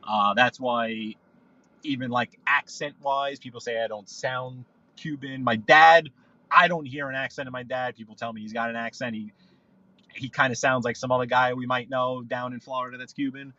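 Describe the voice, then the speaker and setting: A man tells stories from behind the wheel, circling back and restarting his sentences as he goes. His voice is bright and forceful. The audio is dull and lo-fi, like a livestream feed. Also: mid-range voice, fairly even intonation, normal speed, no room sound. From New York. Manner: rambling, storytelling, frequent restarts. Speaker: livestream guest. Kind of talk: casual live podcast chat while driving. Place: in a car.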